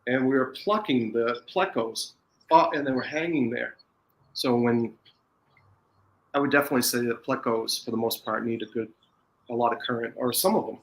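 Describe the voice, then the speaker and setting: A man's voice: mid-range voice, normal speed, low-quality recording. A man with an American accent, a podcast guest in casual chat, talking in a rambling way with frequent restarts.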